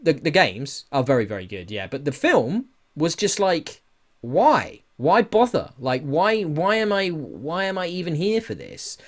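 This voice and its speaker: A man in a casual podcast discussion, giving opinions in an animated, sweary way with rhetorical questions. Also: a little high, a little slow. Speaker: podcast host.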